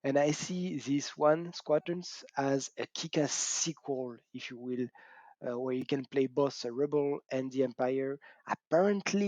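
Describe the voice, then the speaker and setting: A middle-aged man with a French accent hosts his gaming channel in a chatty, self-mocking way, laughing and whooping between points. His voice is mellow and warm. He's recorded on a dull cheap mic in a dry room. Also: a little high, no room sound. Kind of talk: casual talk to camera.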